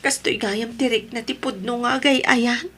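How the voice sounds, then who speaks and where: A woman's voice: fairly high pitch, steady tone, moderate pace, fairly bright tone, slight background noise. A Filipino woman playing a lovestruck, stubborn married woman in an audio drama, emotional and pleading in her delivery. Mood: yearning.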